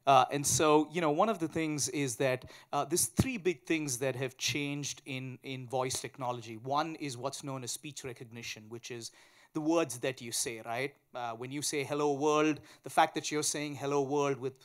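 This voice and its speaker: A man's voice: mid-range voice, steady tone, moderate pace. A man with an Indian accent explaining technology step by step as a guest speaker at a conference, often checking in with a tag question. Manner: explains step by step with examples, often tags "right?". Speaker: tech company chief executive, conference guest speaker. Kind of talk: explanatory conference interview talk. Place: live on stage at a conference.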